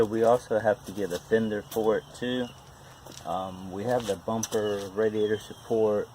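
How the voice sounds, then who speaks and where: A man in his forties with a stutter talks casually and haltingly in a folksy, self-deprecating vlog. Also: on the low side, a little mellow, a little hiss or hum, low-quality recording. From West Virginia, United States. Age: 40s. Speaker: vlogger, amateur car mechanic. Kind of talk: casual unscripted vlog talk.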